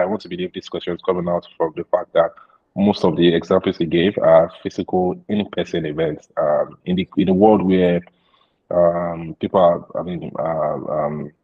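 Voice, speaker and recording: A man hosting an interview, asking questions hesitantly with many pauses and fillers. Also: deep voice, breathy edge. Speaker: interview host. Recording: dull lo-fi sound.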